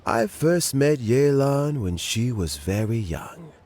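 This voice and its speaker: An actor playing a wise elderly spymaster in a game, giving calm, measured advice. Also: male voice, medium pitch. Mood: calm counsel. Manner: measured, advising, gently paced. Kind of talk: game voice acting. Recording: game audio with faint music. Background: faint music.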